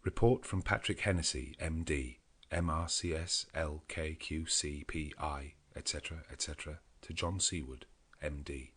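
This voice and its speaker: A man narrating a classic novel as an audiobook, in a measured and expressive reading. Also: very deep voice, breathy edge. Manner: measured, expressive reading with a lively, shifting delivery.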